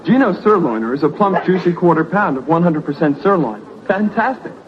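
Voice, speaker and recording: Muffled vintage radio with faint music. A man plays an enthusiastic American buddy in a seventies commercial, bragging in a high, swooping voice. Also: expressive, a little fast, background noise, close to an everyday voice. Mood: eager bragging. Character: enthusiastic pal bragging about a big-eating friend. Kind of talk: acted 1970s radio commercial.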